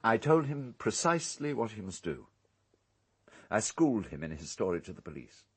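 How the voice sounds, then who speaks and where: An actor playing an urbane, calculating English island owner in a radio drama, speaking in a measured, precise, coolly unrepentant way. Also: male voice, fairly low pitch, sing-song, husky. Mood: cool, matter-of-fact.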